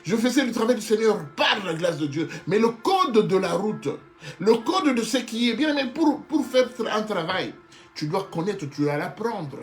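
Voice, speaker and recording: A man's voice: high voice, very animated, normal speed, faint music behind. A man preaching a sermon with passion, often stopping to restart and repeat phrases and calling his listeners beloved. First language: not Hebrew. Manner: keeps addressing listeners as beloved.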